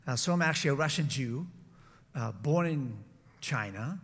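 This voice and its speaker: Middle aged guy from san francisco giving church testimony, halting and chatty, big reverby hall. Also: medium pitch, lively intonation, background noise. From San Francisco, United States. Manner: halting, conversational, many self-corrections. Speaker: guest preacher and missionary. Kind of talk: informal church talk with personal testimony. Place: large room, church service. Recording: echoey hall with long reverb.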